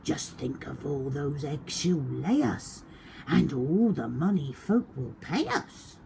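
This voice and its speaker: A woman's voice: deep voice, very animated, takes it slowly, gravelly, mellow, a little hiss or hum, exaggerated voice. Woman playing a scheming storybook thief man. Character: greedy scheming male thief, storybook villain. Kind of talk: children's storybook read aloud.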